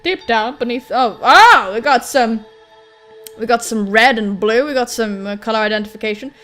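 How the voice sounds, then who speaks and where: A woman's voice: a little high, normal speed, fairly bright tone, faint music behind. A woman doing playful, jokey let's play commentary, swearing casually as she reads game text aloud. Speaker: gaming video host.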